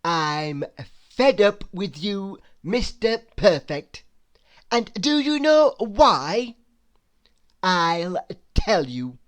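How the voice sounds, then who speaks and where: A woman voicing a rude, impatient male storybook creature who roars in a loud, drawn-out, emphatic way. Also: medium pitch, big pitch swings, pitched above the performer's own voice. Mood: exasperated, furious. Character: rude, impatient, bad-tempered male cartoon creature in a top hat.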